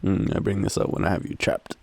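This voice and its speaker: A young Australian man in a drowsy, halting, casual boyfriend roleplay, murmuring softly as he rambles through a story with slangy swearing. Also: no room sound. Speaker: audio roleplay performer playing a boyfriend. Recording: faint background ambient noise.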